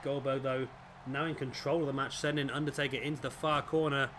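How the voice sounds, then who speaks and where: A man with a raspy, fading voice, commentating on a wrestling video game as the show's host. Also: medium pitch, fairly even intonation, fairly quick. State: hoarse, voice fading. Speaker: host and commentator of a gaming series. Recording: clearly noisy background.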